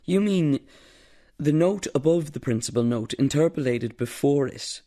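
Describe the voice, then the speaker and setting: A man's voice: on the high side, animated, moderate pace, dark tone, voice pushed up, natural voice. A man voicing an eager, pedantic young man learning the pipes. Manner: keen, pedantic. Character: eager young male piping student.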